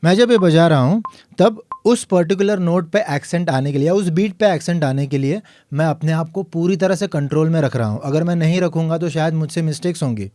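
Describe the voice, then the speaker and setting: A man teaching a piano lesson, explaining calmly and counting the beats aloud. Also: a little high, brisk. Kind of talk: tutorial lesson.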